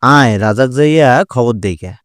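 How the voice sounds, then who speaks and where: A man voicing excitable folk characters in a cartoon fable, speaking emphatically and in exclamations. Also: mid-range voice, moderate pace, no echo, studio quality, natural voice. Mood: astonished. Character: excitable rustic farmers and a king in folktale.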